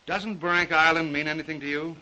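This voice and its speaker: Worried ship's captain, bright voice. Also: male voice, fairly high pitch, steady tone, brisk, dull audio. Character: worried, deferential ship's captain, earnest and cautious. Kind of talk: 1930s film acting.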